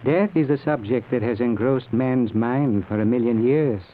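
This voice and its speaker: An actor playing a grave, patient Eastern yogi in an old radio drama, speaking in measured, formal phrases. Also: male voice, medium pitch, narrow, tinny audio, lightly acted. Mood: solemn, patient. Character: grave, patient yogi, wise mystic teacher from the East. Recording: noisy, thin old radio broadcast recording.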